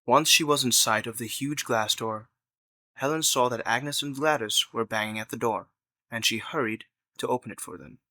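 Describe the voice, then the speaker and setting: A man's voice: mid-range voice, moderate pace, bright, forceful tone, dry recording. A man narrating a scripted story in the third person as the narrator of an audio drama.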